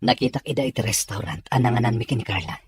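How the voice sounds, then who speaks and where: A man playing a troubled young man in an audio drama, husky, earnest and pressing in a hurried, low delivery. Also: dry recording. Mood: uneasy. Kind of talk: Ilocano audio drama acting.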